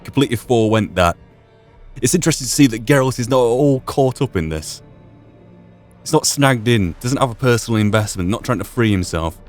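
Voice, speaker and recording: A man hosting a gaming playthrough, musing aloud in a thoughtful, halting commentary. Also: medium pitch, lively intonation, faint music behind. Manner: thoughtful, halting, thinking through asides. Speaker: gaming video host.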